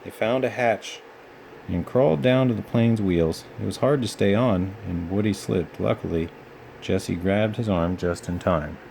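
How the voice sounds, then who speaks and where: A man's voice: lowish voice, normal speed, creaky, a little mellow, slight background noise. A man narrating a children's storybook as a read-aloud storyteller.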